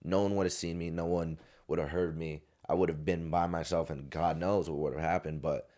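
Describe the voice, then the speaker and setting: A man's voice: deep voice, fairly even intonation, fairly quick, mellow. A man in his twenties, a podcast guest, telling a story in a loose, rambling way, with many restarts and fillers. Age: 20s. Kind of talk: casual storytelling. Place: podcast studio.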